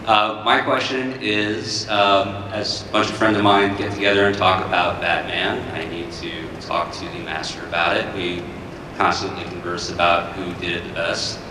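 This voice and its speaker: A man's voice: low-pitched, level tone, moderate pace. A man from New Jersey with a New Jersey accent, asking a fan question in earnest, rehearsed phrasing. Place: large convention hall. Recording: echoey hall from far off with noise.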